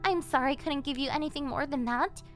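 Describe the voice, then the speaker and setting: Very high-voiced, possessive yandere girlfriend. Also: fast talker, fairly bright tone, faint music behind. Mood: condescendingly soothing. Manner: cloying, coaxing, with breathy giggles and gasps. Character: possessive, obsessive young yandere girlfriend, sweetly menacing captor. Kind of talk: ASMR roleplay monologue.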